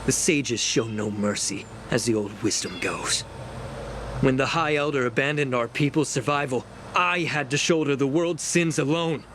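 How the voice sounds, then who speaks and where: An actor playing a grave, self-righteous, scheming elder of a dragon race in a fantasy game, delivering formal speeches in a declamatory way. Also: male voice, medium pitch, moderate pace, slight background noise, lightly acted. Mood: solemn, justifying. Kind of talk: scripted fantasy game voice acting. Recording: loud music behind. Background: game music.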